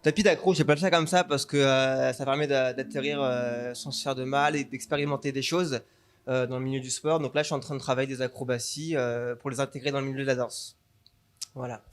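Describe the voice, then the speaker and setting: A man's voice: medium pitch, steady tone, brisk. A man from the Paris suburbs, a dance teacher, answering interview questions enthusiastically. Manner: enthusiastic, repeated phrases.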